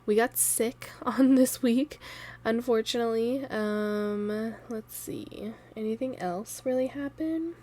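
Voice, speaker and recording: A woman in her thirties with a raspy voice from a cold, chatting loosely and laughing in a planner voiceover. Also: fairly high pitch, slow pace, dark tone. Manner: rambling, chatty, laughs often. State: sore throat from a cold. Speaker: planner vlogger. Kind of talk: casual voiceover chat. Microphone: voiceover mic.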